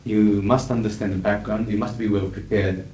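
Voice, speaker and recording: A middle-aged man with a Japanese accent, a native Japanese speaker, lecturing as an interpreter in a measured, emphatic way with frequent fillers. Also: lowish voice, steady tone, a little mellow. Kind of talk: lecture to an audience. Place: lecture hall. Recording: echoey 1980s hall recording with faint hiss.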